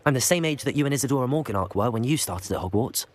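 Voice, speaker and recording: Man acting a quick-spoken, polite young wizard student. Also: medium pitch, expressive, no echo, close to an everyday voice. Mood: polite, composed. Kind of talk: video game character acting.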